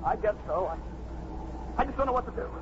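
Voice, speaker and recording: Anguished young male, halting, very high-pitched, noisy vintage radio play. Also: animated. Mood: confused, dejected. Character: distraught, confused young man, wounded and defensive. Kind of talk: 1950s radio drama acting. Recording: muffled, noisy 1950s radio recording.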